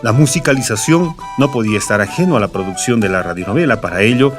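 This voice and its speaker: A man's voice: mid-range voice, lively intonation, fast talker. A man reading scripted documentary narration. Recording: music playing behind the voice. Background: guitar music.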